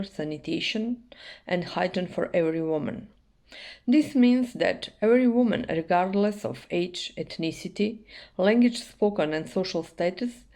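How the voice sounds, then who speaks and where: A woman's voice: mid-range voice, warm tone. A woman, a human rights journalist, reading a prepared statement with emphatic rises on key words. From North Macedonia.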